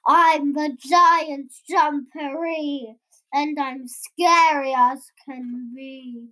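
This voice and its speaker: A child voicing a boastful, menacing unseen storybook monster in a loud, drawn-out way. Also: high-pitched, steady tone, full character voice, low-quality recording. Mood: boastful menace.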